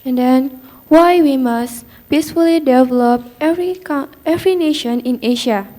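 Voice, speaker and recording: A woman's voice: sits high, moderate pace, dark tone. A young woman from Indonesia giving a prepared student presentation, reciting numbered points carefully. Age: young adult.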